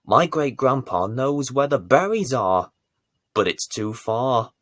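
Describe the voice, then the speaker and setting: Animated, unhurried man telling bedtime stories. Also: mid-range voice, no room sound. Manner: deliberate, theatrical emphasis with dramatic swells and hushes. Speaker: children's bedtime story presenter and reader. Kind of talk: expressive bedtime storytelling for young children.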